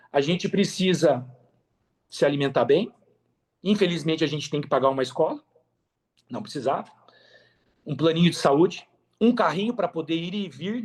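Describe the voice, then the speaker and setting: A man's voice: fairly high pitch, a little mellow. A Brazilian man speaking casually and earnestly as a podcast guest, repeating phrases for emphasis. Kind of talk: casual interview talk.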